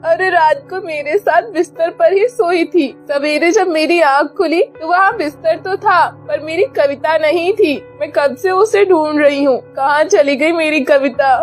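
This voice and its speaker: Very shrill wailing village mother. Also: a little slow, few pauses, soft background music. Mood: wailing, grieving. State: crying. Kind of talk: melodramatic Hindi cartoon acting.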